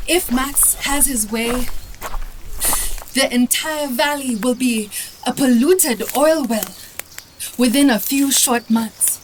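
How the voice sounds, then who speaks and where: A woman's voice: high voice, slow pace, pauses often, a little hiss or hum, poor audio. A young woman playing a determined activist who speaks firmly and earnestly, also narrating her own journal. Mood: earnest narration. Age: young adult. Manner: firm, earnest.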